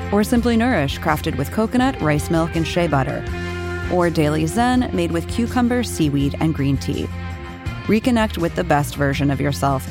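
A woman giving an upbeat, scripted ad read. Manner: bright, upbeat pitch. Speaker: ad announcer.

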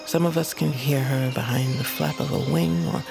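An old mystic grandmother with a heavy creak in her voice. She is cranky yet wise, guiding with solemn, poetic commands. It is a fantasy audio drama, with music clearly underneath. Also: rumbling low, normal speed, heavily acted. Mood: solemn, commanding. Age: older.

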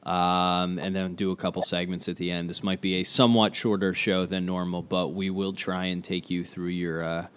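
A man hosting a casual sports podcast, tired from little sleep, with a deep morning voice and a chatty, loose way of talking. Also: flat delivery, moderate pace, hardly any pauses, fairly bright tone, clear, resonant tone. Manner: chatty, loose, many fillers. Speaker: podcast host.

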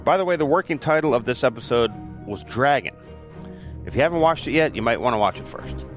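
A man hosts a podcast, opening the show over clear music before moving into short questions for his guest. His voice sits at an ordinary middle pitch. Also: big pitch swings, fast talker. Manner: brief interjections and questions. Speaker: podcast host. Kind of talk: podcast interview.

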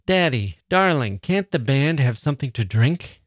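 A man doing a soft-spoken shy young girl. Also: medium pitch, big pitch swings, normal speed, no echo, clean studio sound, pitched above the performer's own voice. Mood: coaxing. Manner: soft, gentle.

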